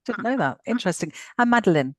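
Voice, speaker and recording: A woman hosting a webinar panel, chatty and warm in tone with repeated words and fillers. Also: mid-range voice, expressive, fast, no echo, studio quality. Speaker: webinar host. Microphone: video call.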